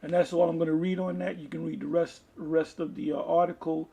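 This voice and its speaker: Male lay preacher, slightly high but husky voice, stop-start reading with asides. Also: level tone, warm tone. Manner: halting, repeats and restarts phrases. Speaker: preacher reading articles and scripture to an online audience. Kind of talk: informal video sermon, reading aloud with commentary.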